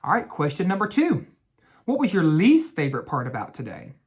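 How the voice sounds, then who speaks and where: A man speaking as an educator in a bedtime read-aloud for children, with a gentle, instructive way of talking and drawn-out key words. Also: a little high, huge pitch swings.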